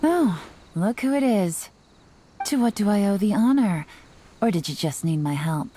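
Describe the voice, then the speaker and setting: An actress playing a sly, self-assured young woman intelligence agent in a video game, speaking in a coy, teasing, unhurried way. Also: medium pitch, animated, slight background noise, natural voice. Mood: relaxed, friendly. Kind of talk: scripted video game character voice lines. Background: soft music.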